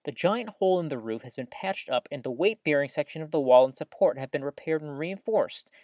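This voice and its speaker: An actress plays an upbeat woman hosting small-town radio in an audio drama. Her voice sits low but swings with lively intonation. She announces in an earnest, folksy way, recorded close and dry. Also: fairly quick, no room sound. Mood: earnest, newsy. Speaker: radio host. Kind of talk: scripted community radio announcing with banter.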